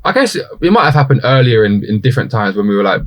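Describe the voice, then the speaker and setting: A man's voice: medium pitch, sing-song, fast talker. A man in his twenties hosting a casual podcast conversation, slangy and rambling, often cutting in. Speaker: podcast host.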